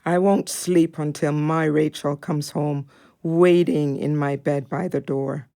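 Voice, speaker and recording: A woman playing a devoted, watchful pet dog who narrates in measured lines in an audio play. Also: sits low, fairly even intonation, creaky, mellow, exaggerated voice.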